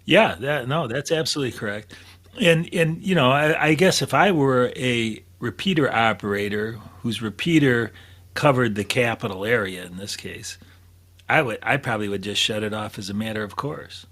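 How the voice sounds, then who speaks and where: A man's voice: mid-range voice, expressive, normal speed, a bit bright. A man on a podcast panel, talking casually and thinking aloud as he goes. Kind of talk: casual chat.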